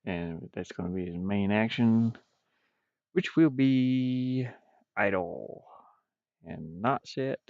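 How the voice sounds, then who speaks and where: A man with a gravelly voice rambles and mutters to himself, thinking aloud casually as he streams his game development work. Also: lowish voice, animated, slow pace, pauses often, no room sound. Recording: dull, muffled, dry lo-fi microphone.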